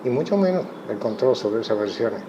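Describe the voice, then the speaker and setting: A Cuban man, a chess coach, giving thoughtful interview answers in a measured way with frequent pauses. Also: mid-range voice, lively intonation. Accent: Cuban Spanish. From Cuba. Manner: measured, thoughtful, many hesitations. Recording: muffled audio with clear background noise.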